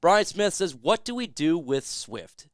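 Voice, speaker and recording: A man's voice: fairly high pitch, very animated, a little slow, no room sound, studio quality. A man hosting a casual sports talk show who is losing his voice, speaking emphatically and reading out viewer questions. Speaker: podcast host.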